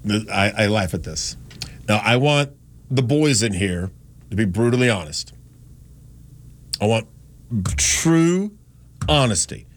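A man's voice: lowish voice, expressive, takes it slowly, pauses often. Male radio host, studio mic, rambling and self-mocking, casual chat. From Gastonia, North Carolina. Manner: rambling, self-deprecating, chatty. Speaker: radio talk show host. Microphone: studio microphone.

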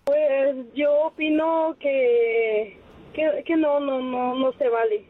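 Woman, radio caller, slow and hesitant, tinny phone line, faint noise. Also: sits high. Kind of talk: phone-in call.

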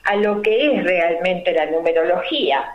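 An older woman with a Rioplatense Spanish accent, a numerology guest explaining her ideas slowly and deliberately, full of fillers and rhetorical questions. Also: fairly low pitch, expressive, slight background noise. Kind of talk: explanatory interview talk. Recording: roomy, dull lo-fi recording.

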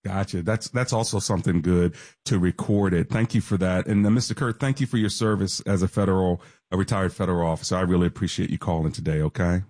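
Man, radio call-in host, low voice, dry studio sound, brisk and affirming. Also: steady tone, a little mellow, dry recording. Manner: affirming, takes calls briskly.

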